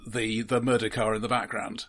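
A man co-hosting a podcast, analysing films casually in a halting way, repeating words as he argues. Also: on the low side, level tone, fairly bright tone. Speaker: film discussion podcast co-host. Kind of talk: casual analytical film discussion.

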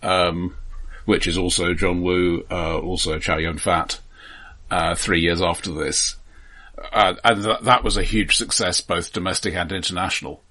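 A middle-aged man co-hosting a film podcast, speaking casually and thoughtfully, halting and often repeating the starts of words. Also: sits low, steady tone, pushed and bright.

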